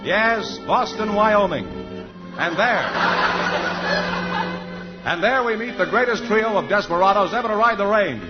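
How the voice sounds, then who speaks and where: A man's voice: high-pitched, lively intonation, measured pace, long pauses. A man announcing a radio sketch with grandiose, mock-serious scripted narration. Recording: dull old radio recording, loud music.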